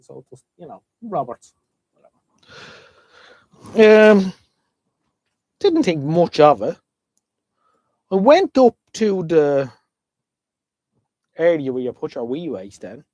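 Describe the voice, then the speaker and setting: An Irish man hosting a casual live stream, a bit sniffly from a head cold. His pitch sits somewhat high and swings about a lot as he rambles on to his viewers. The sound is close and dry, with no room echo. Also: big pitch swings, slow, pauses often, darkish tone. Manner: chatty, rambling, talks to viewers. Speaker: livestream host. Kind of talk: casual livestream chat. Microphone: desk microphone.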